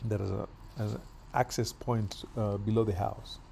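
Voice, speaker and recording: A middle-aged man from Kenya chatting casually while doing yard work outdoors, with relaxed pauses and fillers. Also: fairly low pitch, creaky, noisy background. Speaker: vlogger.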